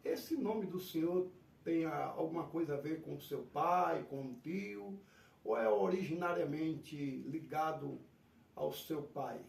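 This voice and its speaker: A pastor with a Northeastern Brazilian accent conducts an interview in a formal, ceremonious manner. His slightly high voice has a gravelly edge. He sounds off-mic in an echoey room with faint noise. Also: expressive, slow pace, a little mellow, distant mic. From Pernambuco, Brazil. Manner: ceremonious, polite, addresses guest formally. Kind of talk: formal church-style interview.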